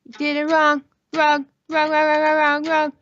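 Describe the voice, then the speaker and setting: A woman's voice: high voice, monotone, moderate pace, a bit bright, dull audio, faint music behind. A woman co-hosting a casual live stream, bantering in a crude, teasing, repetitive way and swearing freely. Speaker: podcast co-host on a live stream.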